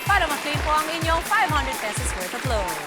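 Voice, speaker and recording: A woman's voice: sits high, big pitch swings, brisk. A cheerful woman with a Filipino accent, a studio host giving an upbeat morning show sign-off. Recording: music and crowd behind the voice.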